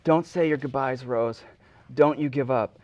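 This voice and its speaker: A man's voice: mid-range voice, measured pace, no echo, muffled recording, natural voice. A man playing an earnest young man in icy water, pleading in a parody dramatic reading.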